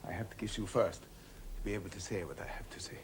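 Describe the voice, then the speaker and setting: A man's voice: medium pitch, expressive, quick pace, noisy background, lightly acted. A man playing a proud, brooding old father. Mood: hushed, grave. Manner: swings from shouted outrage to hushed whispered confession. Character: proud aging Neapolitan father, wounded and brooding. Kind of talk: radio drama acting.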